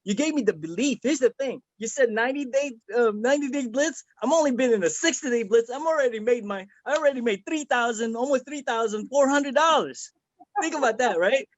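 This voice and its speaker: A man's voice: very high-pitched, fairly quick, no echo. A man from the Philippines giving an earnest, rambling testimonial over a video call, with many fillers and restarts. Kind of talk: casual video-call chat.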